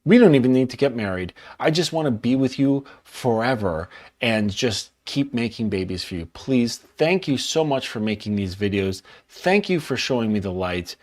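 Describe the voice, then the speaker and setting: A man's voice: medium pitch, lively intonation, normal speed, hardly any pauses, darkish tone, lightly acted. A man putting on the voice of a smitten young woman, gushing over the top with mock gratitude.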